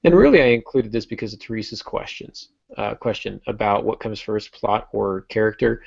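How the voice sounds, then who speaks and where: A low-pitched man with a gravelly edge hosts a casual panel discussion. He talks conversationally, as a podcast host would. The sound is close and dry, though slightly muffled and lo-fi. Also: lively intonation, normal speed, a little mellow, no echo.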